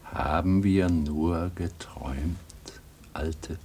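A man's voice: deep voice, extremely slow, darkish tone, slightly rough, heavily acted. A man playing a troubled old grandfather in a German radio play, hesitant and wistful, longing for a world he remembers.

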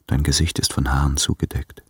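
Very deep, husky, lovesick man. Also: level tone, a little fast, fairly bright tone, dry recording, clean studio sound, full character voice. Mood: tender, dreamy. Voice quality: husky, breathy. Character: weary, lovesick man addressing his distant beloved. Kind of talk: intimate literary radio play monologue.

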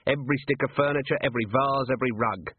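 A man's voice: medium pitch, fast talker, slightly rough, no echo, lightly acted. A man doing a curt, suspicious watch commander. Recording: phone-like narrow band, dry.

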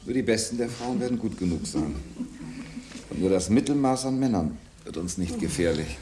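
Man as calculating ruler, lowish, mellow, pompous, radio drama. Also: lively intonation, normal speed, a little hiss or hum, close to an everyday voice. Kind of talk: 1970s German radio drama acting. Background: faint music.

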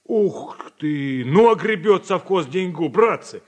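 Eager village combine man, radio drama; high voice, folksy, good-natured, reassuring. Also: expressive, slow, full character voice. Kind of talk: Soviet radio play acting.

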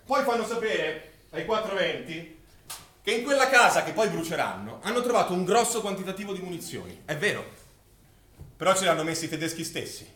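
A male actor playing a grave, anguished witness who narrates a wartime massacre in solemn, declamatory stage recitation with deliberate pauses. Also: sits high, sing-song, bright, forceful tone, heavily acted. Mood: grave, tense. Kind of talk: dramatic theatrical recitation of wartime testimony. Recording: very echoey, distant stage recording.

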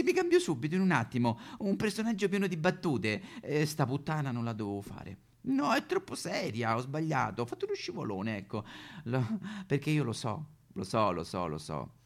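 A man speaks as a comic actor addressing his audience. He sounds flustered and apologetic, repeating himself. His pitch leaps about in a very animated way. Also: fairly quick.

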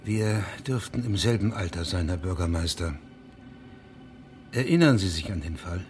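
An actor playing a dogged, formal middle-aged judge in a German radio drama, measured and unyielding. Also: male voice, sits low, animated, darkish tone, soft background music.

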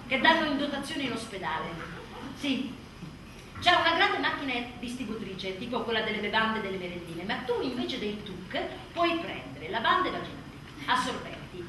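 An actress playing an exhausted, sardonic new mother in a theatrical radio monologue, emphatic and full of sarcasm. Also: fairly high pitch. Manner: emphatic, sarcastic, mimicking others mockingly. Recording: echoey room, distant mic, faint noise.

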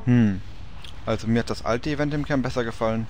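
A man's voice: mid-range voice, fairly quick, darkish tone, soft background music, lightly acted. A man playing a laid-back raid leader in a parody audio play, giving orders in colloquial gamer talk. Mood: unimpressed. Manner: matter-of-fact, colloquial.

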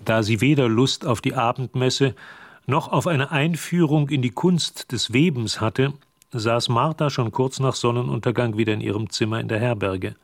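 An actor gives composed literary narration for a radio play. His voice is mid-pitched and recorded close and dry, with no room around it. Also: moderate pace, no room sound, close to an everyday voice. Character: composed male storyteller.

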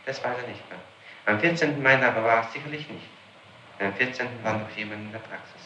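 A man's voice: mid-range voice, fast, sharp tone, muffled recording. A man questioning a suspect as prosecutor, in a formal, methodical and pressing official manner. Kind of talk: formal interrogation. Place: echoey room, archival interrogation tape. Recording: noisy, roomy archival 1960s tape recording.

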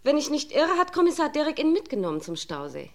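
A woman playing a polite young police secretary in a 1950s radio play, brisk on the telephone. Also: high-pitched, very animated.

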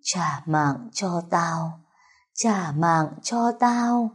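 A female storyteller plays a menacing ghost woman in an audio story. She speaks slowly in a husky, eerie voice, repeating her threats with cold command. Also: on the low side, lively intonation, exaggerated voice. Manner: slow, drawn-out, repeated threats.

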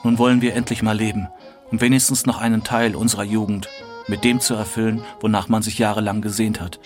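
A man's voice: fairly low pitch, flat delivery, fast, darkish tone, faint music behind. A man reading out a personal quotation for a radio feature.

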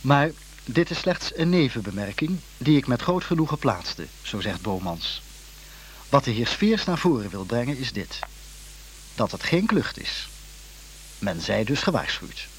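A man's voice: mid-range voice, very animated, unhurried, slight background noise, low-quality recording. A man reading a scripted literary introduction as narrator, with wry, formal phrasing.

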